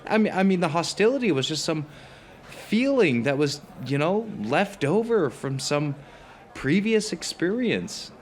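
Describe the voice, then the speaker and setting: An actor playing a hesitant, neurotic dinner companion in an audio drama, speaking in a halting, stammering, hedging way. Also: male voice, a little high, sing-song. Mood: nervous grievance. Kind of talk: conversational audio drama dialogue.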